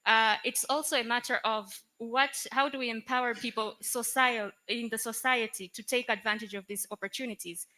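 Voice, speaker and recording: Bright-voiced woman on a panel. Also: fairly high pitch, low-quality recording. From Tanzania. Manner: measured, steady, cites statistics. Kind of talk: conference panel talk. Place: conference hall.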